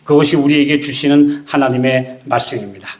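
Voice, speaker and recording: A male pastor preaching a sermon, telling the story vividly and slowing down to stress key words. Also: medium pitch. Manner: emphatic storytelling with dramatic slow stresses.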